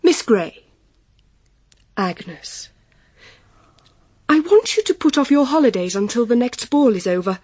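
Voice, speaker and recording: Spoiled young English society belle, period audio drama: high voice, teasing, imperious, affected, dry sound. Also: female voice, big pitch swings, a little slow, pauses often, mellow, breathy edge, dry recording, heavily acted. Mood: coaxing, vain. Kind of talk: period radio drama acting.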